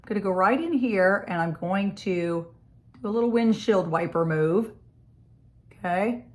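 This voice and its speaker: A woman with a scratchy, hoarse voice from a cold, chatting casually through a makeup tutorial in her home office. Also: medium pitch, a little slow. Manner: chatty, friendly step-by-step explaining. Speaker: makeup vlogger.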